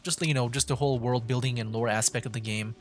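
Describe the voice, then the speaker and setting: Casual male streamer, medium pitch. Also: steady tone, quick pace, soft background music. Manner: meandering, mild, thinking aloud. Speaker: streamer, VTuber. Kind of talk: casual gaming livestream commentary.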